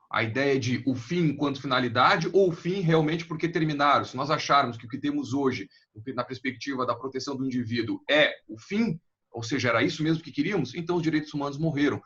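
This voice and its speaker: A man's voice: mid-range voice, a bit bright, husky, highs cut off. A Brazilian man hosting a discussion show, talking fluently and addressing the viewers directly. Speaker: host.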